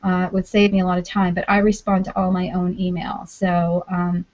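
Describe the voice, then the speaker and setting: A woman's voice: on the low side, flat delivery, normal speed, a little mellow. A woman hosting a casual group video chat, chatty and self-joking, talking over a call mic. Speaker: podcast network host. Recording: dull lo-fi online call audio.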